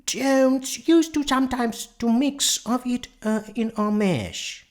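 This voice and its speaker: A man's voice: extremely high pitch, slow pace, voice pushed up. A man voicing an uneasy, hesitant female farm hen in a storybook reading. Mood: querying.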